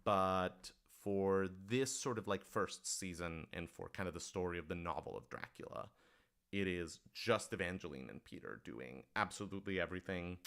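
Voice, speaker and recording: A man with a lowish, smooth and resonant voice, chatting through audience questions on a livestream. He explains things casually and with enthusiasm, feeling his way through each answer. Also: moderate pace, a bit bright. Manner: enthusiastic, explanatory, conversational with frequent hedging.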